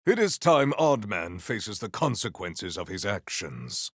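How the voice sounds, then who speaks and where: An actor playing a proud, battle-hungry warrior in a video game, with formal, stilted and grandiose diction. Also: male voice, fairly low pitch, very animated, unhurried, no room sound, clean studio sound. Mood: resolute. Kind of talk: scripted video game character lines.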